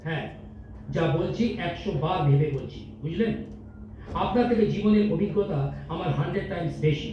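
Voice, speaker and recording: A man acts out a condescending, impatient company boss in a staged audio drama. His voice sits high for a man, and he scolds pompously, slipping into English. The sound is distant and very reverberant, as from a hall stage. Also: fairly quick, lightly acted. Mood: stern, scolding. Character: stern, condescending male company boss, business-minded and impatient. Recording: distant echoey hall, dull, faint noise.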